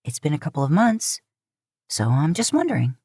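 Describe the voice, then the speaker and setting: A woman voicing a caring, slightly dry middle-aged foster mother, gentle and probing, in an audio story. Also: low-pitched, big pitch swings, normal speed, husky, dry recording, exaggerated voice. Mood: curious. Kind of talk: fan fiction audio story reading.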